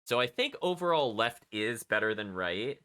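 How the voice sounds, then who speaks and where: A man's voice: mid-range voice, sing-song, normal speed, pushed and bright, clean tone. A man giving casual live commentary as a video game streamer, thinking aloud and chatting to his viewers. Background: game music.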